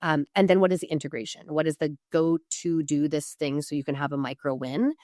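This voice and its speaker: A woman's voice: deep voice, steady tone, fairly quick, warm tone, no room sound, studio quality. An American woman speaking as a podcast guest, explaining business advice conversationally with emphatic asides and self-interruptions. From Oregon, United States. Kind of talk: conversational interview, informal coaching.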